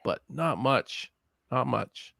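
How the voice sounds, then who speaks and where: A man's voice: medium pitch, animated, takes it slowly, gravelly, a bit bright, dry recording. A man from Canada, a filmmaker guest chatting casually on a podcast, laughing often and swearing freely.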